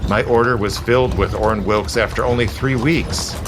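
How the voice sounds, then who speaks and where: Man playing a very deep-voiced, persuasive gentleman protector. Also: fairly even intonation, moderate pace, noisy background, lightly acted. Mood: explaining, urbane. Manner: smooth, persuasive, theatrical, genteel formal phrasing. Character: suave, zealous immortal gentleman protector in a borrowed body. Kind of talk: period audio drama acting.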